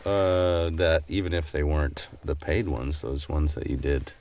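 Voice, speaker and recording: A man co-hosting a podcast in casual chat with a deadpan, teasing manner. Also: very deep voice, measured pace, dry recording. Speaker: podcast co-host.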